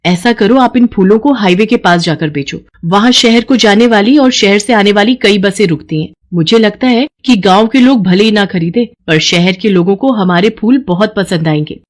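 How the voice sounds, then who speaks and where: A woman's voice: mid-range voice, moderate pace, hardly any pauses, natural voice. A woman playing a poor flower seller's worried, sensible wife, earnest and cautious, in a cartoon story. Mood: earnest, urging. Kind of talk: Hindi cartoon moral story.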